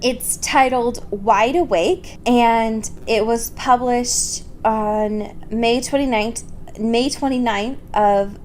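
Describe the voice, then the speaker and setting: Female podcast co-host, giggly and casual, unhurried delivery, noisy recording. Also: a little high, fairly even intonation. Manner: giggly, informal, swears casually. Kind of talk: casual chat and reading fan fiction aloud.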